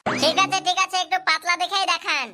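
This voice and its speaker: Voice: very high-pitched, fairly quick, crowd noise. A performer voicing a cheeky, pushy schoolboy customer in a comedy cartoon, with whiny, insistent delivery. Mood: pleading, cheeky. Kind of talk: comic cartoon dubbing.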